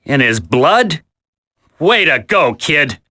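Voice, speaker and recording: Wisecracking tough commando in a game, highish bright voice, really animated. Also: male voice, takes it slowly, heavily acted. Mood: flippant. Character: cocky, wisecracking male commando, flippant and tough. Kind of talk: dramatic video game voice acting.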